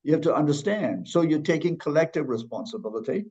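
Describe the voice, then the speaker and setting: A man's voice: on the high side, very animated, fast talker, darkish tone. Thoughtful man from Malaysia, dull sound. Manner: thoughtful, many restarts and fillers. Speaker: guest. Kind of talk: conversational interview.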